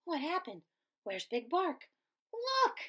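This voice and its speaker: A woman voicing excitable, squabbling little prairie dogs from a storybook in short exclamations. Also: very high voice, slow, pitched above the performer's own voice, exaggerated voice. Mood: excited.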